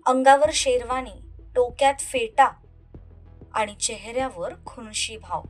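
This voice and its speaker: A woman's voice: on the high side, unhurried, poor audio. A woman narrating a scripted, dramatic love story. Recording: music under the voice. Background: soft background music.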